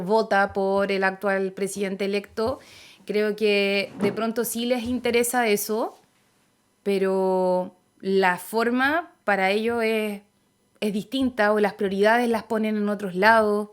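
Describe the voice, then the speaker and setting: A young woman with a Chilean accent speaks as an interview guest. She is thoughtful and measured, often hesitating with soft fillers while she gathers her ideas. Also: mid-range voice, clean tone. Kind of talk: reflective conversational interview.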